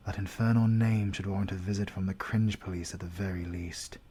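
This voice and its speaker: A British man acting a menacing, coldly courteous villain interrogator in an audio roleplay, speaking formally and deliberately, at times dropping into a whisper. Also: deep voice, fairly even intonation, darkish tone, breathy edge. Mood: cynical musing.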